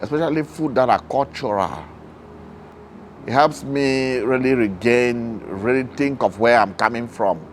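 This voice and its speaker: A man's voice: medium pitch, a little slow, a little hiss or hum. A man with a Cameroonian accent giving a personal account in a documentary interview. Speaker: food bank user.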